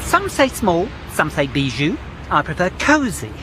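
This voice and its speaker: A slick, pushy estate agent. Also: male voice, a little high, wildly swooping pitch, measured pace, music in the background, low-quality recording. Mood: salesy. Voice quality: salesy, breezy patter. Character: smooth-talking, pushy male estate agent. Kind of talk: radio drama acting.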